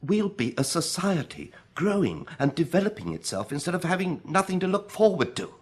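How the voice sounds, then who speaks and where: Pushy practical survivor guy, radio play, highish lively voice, speechifying. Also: fairly quick, slightly rough. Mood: persuasive. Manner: emphatic, persuasive, speechifying. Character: forceful, argumentative practical survivor urging others to plan. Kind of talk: radio drama acting.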